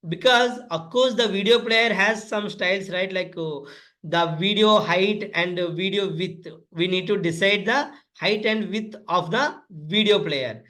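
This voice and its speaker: A man's voice: high-pitched, measured pace, pushed and bright. A man instructing in a step-by-step coding tutorial, often repeating and spelling out terms.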